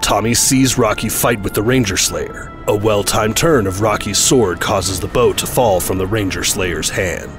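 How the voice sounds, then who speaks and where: A man's voice: lowish voice, moderate pace, few pauses. A man reading scripted narration for an action audio drama. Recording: voice over loud trailer music.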